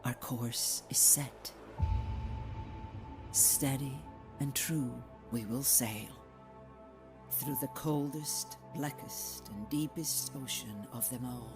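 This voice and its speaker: A man giving slow, grand and solemn narration for a voice pack trailer. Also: mid-range voice, close to an everyday voice. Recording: narration over loud music.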